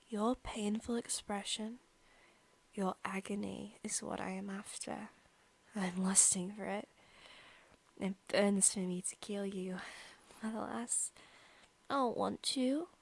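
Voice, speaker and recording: A woman playing an obsessive, sadistic centuries-old female vampire in an ASMR roleplay, speaking softly and breathily with menacing, teasing turns into whispers. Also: mid-range voice, vocal fry. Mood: menacing, lustful. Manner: soft, menacing, teasing, often dropping into whispers.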